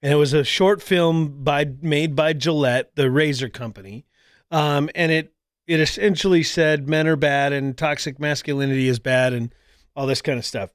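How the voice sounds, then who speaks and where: A man's voice: a little high, normal speed, clear, resonant tone, no room sound, studio quality. A male host of a talk radio show and podcast, chatting casually and rambling with lots of fillers and restarts. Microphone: studio microphone.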